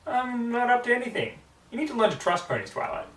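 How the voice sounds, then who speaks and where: A man's voice: extremely high pitch, big pitch swings, fast, a bit bright, roomy sound, far from the mic, voice pushed up, exaggerated voice. A man voicing a cocky young female pegasus with a blunt, defiant manner in a story reading. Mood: brash, impatient.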